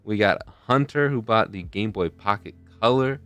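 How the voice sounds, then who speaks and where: Deep-voiced male streamer, rambling. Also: moderate pace, a bit bright, clear, resonant tone, faint music behind. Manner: rambling, loses his train of thought. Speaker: streamer hosting a charity stream. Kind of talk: casual live-stream chat. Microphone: desk mic close to a face camera.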